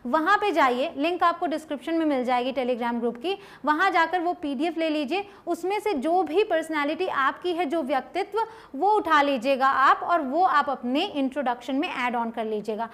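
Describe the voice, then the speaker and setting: Woman, high voice, online English tutor: explains step by step, slows down on model sentences, checks in with the viewer. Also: animated, fairly bright tone. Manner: explains step by step, says English model phrases slowly and clearly. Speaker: English teacher. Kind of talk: online spoken-English lesson.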